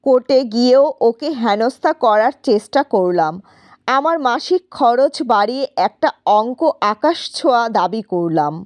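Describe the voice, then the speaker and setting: A woman's voice: a little high, lively intonation, a little slow, no room sound. A woman narrating a scripted first-person story in a dramatic, reflective manner.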